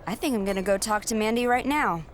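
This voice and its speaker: A woman's voice: medium pitch, fast, noisy background, lightly acted. A woman playing an ambitious, self-assured female wrestler in a video game cutscene, with a dry, cocky manner. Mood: defiant.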